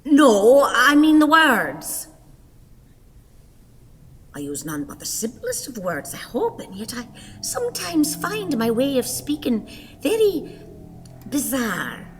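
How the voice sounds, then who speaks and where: An actress playing a troubled woman in a radio drama, with a halting, theatrical delivery. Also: sits high, very animated, faint music behind, exaggerated voice. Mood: troubled, bewildered. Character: Maddie Rooney, troubled woman marvelling at her own odd speech. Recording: archive radio drama, long reverberant tail.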